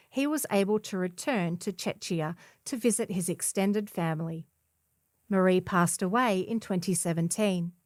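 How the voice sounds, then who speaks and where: A woman's voice: mid-range voice, no room sound, clean studio sound. A woman reading a scripted feature article as a volunteer newspaper narrator. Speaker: volunteer reader of a community newspaper.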